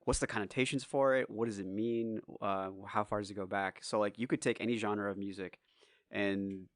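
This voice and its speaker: A man in his forties talks on a podcast in a loose, rambling way, cursing now and then. His voice sits fairly low and sounds a little hoarse. The sound is dry and close. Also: steady tone, normal speed, no room sound. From United States. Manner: loose and rambling, swears freely. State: slightly hoarse. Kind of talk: casual podcast chat.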